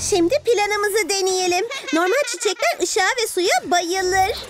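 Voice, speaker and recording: A woman's voice: very high-pitched, a little slow. A woman voicing an eager, determined six-year-old superhero girl in a dubbed cartoon, earnest and emphatic. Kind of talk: dubbed children's cartoon acting. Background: loud music.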